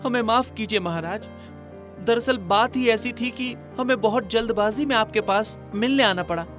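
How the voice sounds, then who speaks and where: Man acting a high-voiced, humble royal minister. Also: normal speed, music in the background, lightly acted. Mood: deferential. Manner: humble, formal. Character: loyal, deferential royal minister.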